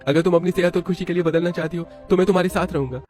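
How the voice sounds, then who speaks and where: A man's voice: sits high, steady tone, racing, darkish tone, faint music behind, pitched above the performer's own voice, close to an everyday voice. A man playing an earnest, reassuring young husband in a cartoon, remorseful and supportive. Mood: gentle, supportive.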